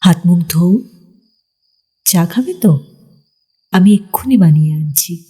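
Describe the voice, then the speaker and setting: A woman's voice: on the low side, expressive, a little slow, mellow, breathy edge. A woman playing a mysterious, alluring young village woman in a ghost story, coaxing and homely in manner. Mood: hospitable. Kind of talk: dramatised Bengali ghost story.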